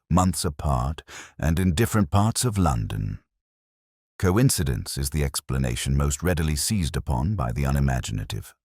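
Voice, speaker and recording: Guy doing an imperious detective, super low voice, formal n lecturing, swings around a lot, audiobook style. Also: darkish tone, no room sound, clean studio sound, full character voice. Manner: formal, precise, emphatic, lecturing. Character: keen, incisive, imperious male master detective. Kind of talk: audiobook detective story dialogue.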